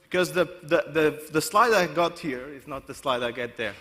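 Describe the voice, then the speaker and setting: Halting man presenting in a reverberant hall. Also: on the high side, sharp tone. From Portugal. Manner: halting, many restarts and self-corrections. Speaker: conference speaker. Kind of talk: conference presentation. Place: conference hall.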